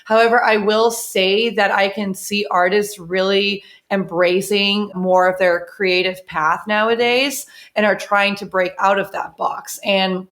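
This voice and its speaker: A woman's voice: medium pitch, steady tone, unhurried, few pauses, a bit bright. Chatty woman from the Netherlands. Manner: chatty, rambling, casual. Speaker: PR agency owner, guest. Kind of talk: interview conversation.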